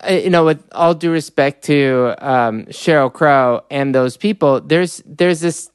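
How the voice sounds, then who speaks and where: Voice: mid-range voice, unhurried, clean tone, no room sound, studio quality. A podcast host asking casual interview questions, thinking aloud and circling through fillers before landing the question.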